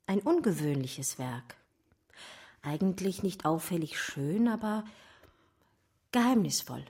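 A learned lady art historian telling her story, lowish mellow voice, radio play narration. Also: animated, breathy edge, dry recording, heavily acted. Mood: measured, scholarly. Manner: measured, eloquent, scholarly. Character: educated German art historian recounting her love story. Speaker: narrator and lead character. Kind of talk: literary radio drama narration.

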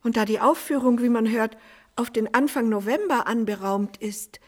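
A woman playing a refined, concerned lady of a period salon in a German radio play, speaking in a formal, measured, old-fashioned manner. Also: on the high side, a little mellow, natural voice. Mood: politely concerned.

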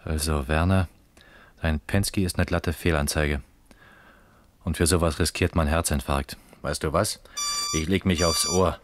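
An actor playing an impatient, grumbling police detective in a crime radio play, dry and sardonic. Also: male voice, rumbling low, steady tone, a little slow, pauses often, a little hiss or hum. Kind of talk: mid-century German crime radio play acting.

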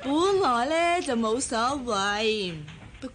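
Chatty, gossipy office girl, higher-pitched. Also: takes it slowly, soft background music. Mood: teasing. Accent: Hong Kong Cantonese. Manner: animated, teasing, coy. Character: gossipy, chatty young female office worker, Gigi. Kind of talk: Hong Kong radio drama acting.

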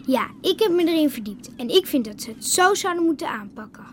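A woman's voice: sits high, lively intonation, brisk, slight background noise. Woman playing an outspoken young girl. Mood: firm. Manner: firm, outspoken. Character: young island girl Tijne, outspoken, opinionated. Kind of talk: radio drama acting.

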